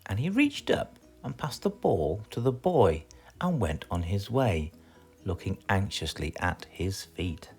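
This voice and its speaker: A man with a British accent reading a children's storybook aloud in a theatrical, expressive way. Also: deep voice, a little slow, faint music behind. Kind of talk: animated children's storybook narration.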